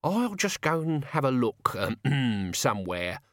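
A man voicing a crusty old male servant with a sly, wheezy, rough way of talking. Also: medium pitch, very animated, measured pace, fairly bright tone, no room sound, clean studio sound.

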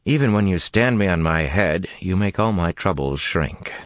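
A man reading an audiobook, voicing a troubled, weary young man who sounds exasperated and gruff. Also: deep voice, animated, moderate pace, no room sound, studio quality, voice pushed up.

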